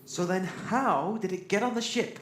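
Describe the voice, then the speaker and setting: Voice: medium pitch, normal speed, fairly bright tone, natural voice. A performer playing a fussy, sarcastic robot given to theatrical ranting in a science fiction audio drama. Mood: grumbling. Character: sardonic, ranting, greedy, fussy robot crew member. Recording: roomy echo, faint noise.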